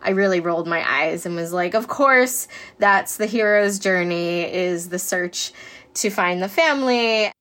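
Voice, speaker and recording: A woman's voice: on the low side, measured pace, fairly bright tone. A woman interviewee talking casually and animatedly, often quoting her own reactions. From born in Korea, adopted in the US.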